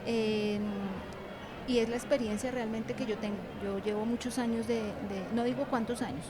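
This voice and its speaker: A woman's voice: a little high, steady tone, moderate pace. A middle-aged woman giving conversational interview answers in an informal, meandering way. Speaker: university animation lecturer and author, interview guest. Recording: clear background noise of busy venue. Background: ambient noise of a book fair.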